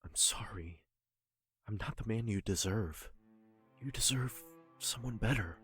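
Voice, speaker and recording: A man acting in an audio drama as a guilty, evasive lover, earnest and apologetic, with a husky, halting delivery. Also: on the low side, fairly bright tone, soft background music, heavily acted. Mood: regretful, pleading.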